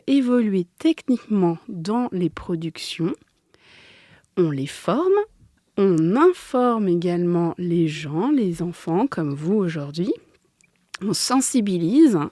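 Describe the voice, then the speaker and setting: A woman's voice: mid-range voice, dark tone, no room sound, clean studio sound. A woman explaining things simply and didactically as a guest in an informal radio interview. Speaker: interview guest, agricultural association organiser. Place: close, dry recording for a radio workshop.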